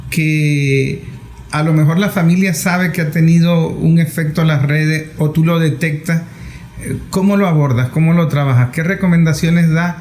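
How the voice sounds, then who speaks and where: A man interviewing as a journalist, speaking conversationally in measured phrases that build into lists. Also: a little high, steady tone, darkish tone. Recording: echoey room with background noise.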